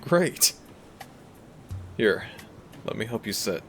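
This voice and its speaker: A man playing a gentle young dragon prince, a devoted boyfriend talking tenderly to the listener in a romantic audio roleplay. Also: sits low, lively intonation, a little slow, gravelly, heavily acted. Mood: warm, attentive. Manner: tender, affectionate, soft-spoken reassurance. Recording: music behind the voice. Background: background music.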